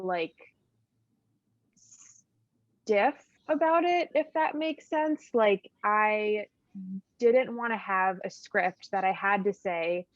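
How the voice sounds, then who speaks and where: A woman's voice: mid-range voice, no room sound, lo-fi sound. Young woman chatting easily on a panel. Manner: relaxed, conversational, light laughs, occasional stutter. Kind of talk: casual panel discussion.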